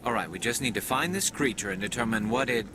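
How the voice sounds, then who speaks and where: An actor playing a dutiful male squadmate in a video game, with a measured, dry delivery. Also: mid-range voice, expressive, background noise, natural voice. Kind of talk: video game cutscene acting.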